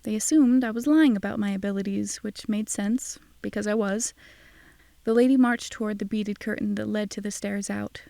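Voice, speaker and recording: Wry, mellow, gravelly woman narrator. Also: medium pitch, fairly even intonation, moderate pace, no echo. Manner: wry, sardonic. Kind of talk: scripted first-person audiobook drama narration.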